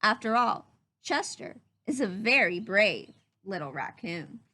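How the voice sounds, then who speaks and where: A woman reading a storybook aloud for children, expressive, with simple explanatory asides to young listeners. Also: medium pitch, unhurried.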